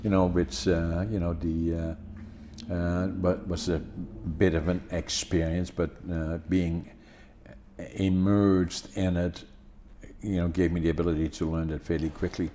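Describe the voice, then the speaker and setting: An older man in his seventies from the Netherlands, speaking English with a Dutch accent and Dutch as his first language, hosting a podcast in a halting style full of fillers and restarts. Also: deep voice, little pitch movement, warm tone, a little hiss or hum. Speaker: podcast host. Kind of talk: casual conversation.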